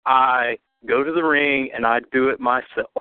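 A man's voice: mid-range voice, steady tone, moderate pace, clear, resonant tone, no room sound. A man cutting a boastful, defiant wrestling promo as a challenger, talking over his rivals. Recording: tinny phone line.

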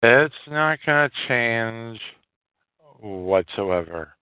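A man hosting a solo podcast, giving opinionated commentary in halting, emphatic phrases with a lot of repetition. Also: mid-range voice, expressive, smooth, no room sound. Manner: coarse, swearing. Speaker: podcast host. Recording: narrow phone-like line, dry.

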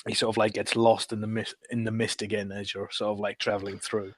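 Halting man recounting a game quest. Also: lowish voice, flat delivery, no echo. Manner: halting, groping for words. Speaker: podcast roundtable panelist.

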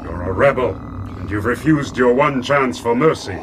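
An actor in a radio drama playing a cold, snooty, menacing villain interrogator with a commanding, formal manner. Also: male voice, medium pitch, expressive, moderate pace, background noise, close to an everyday voice. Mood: cold menace.

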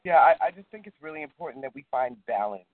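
A husky man talks slowly and earnestly as a co-host. His voice comes through a tinny, phone-like line with a dry, close sound. His pitch sits a little high for a man. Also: expressive, no room sound. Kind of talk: casual call chat. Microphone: call audio.

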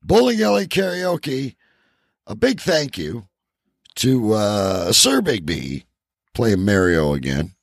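A man with a low, gravelly voice hosts a comedy podcast. His delivery is lively and casual, with words drawn out for announcer-style emphasis as he chats and plugs shows. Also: slow pace, no room sound, studio quality. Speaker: podcast host.

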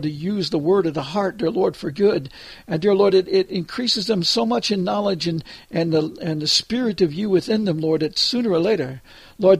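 An older man leading a long spoken prayer, repeating "dear Lord" between phrases, with halting restarts. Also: high voice, vocal fry, slightly rough, dry recording. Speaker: prayer leader.

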